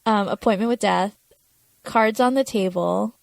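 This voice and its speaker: Female podcast co-host, casual delivery, mid-pitched creaky voice, dry close recording. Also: measured pace, no echo. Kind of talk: casual chat about a TV episode.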